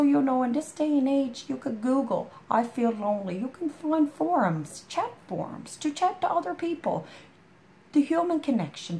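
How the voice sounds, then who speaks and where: Brief: hoarse woman with a gravelly voice and lively pitch, rambling and folksy, faint hiss behind. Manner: rambling, folksy, full of "you know". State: hoarse from a chest cold. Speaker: storytime vlogger. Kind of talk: casual heartfelt chat to a friend.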